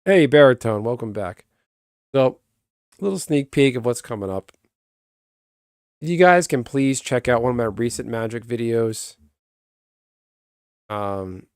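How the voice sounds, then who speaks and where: Calm, rambling man on a dry close mic. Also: medium pitch, smooth, dry recording. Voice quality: calm, even voice. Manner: rambling, self-interrupting, chats with viewers. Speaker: streamer. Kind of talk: casual live-stream chat.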